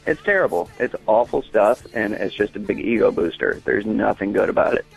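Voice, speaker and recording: A man with a lowish voice and plenty of fry speaks as a guest on a comedy show. He is casual, matter-of-fact and opinionated, over faint music. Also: fairly quick, lo-fi sound. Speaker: caller, comic expert guest.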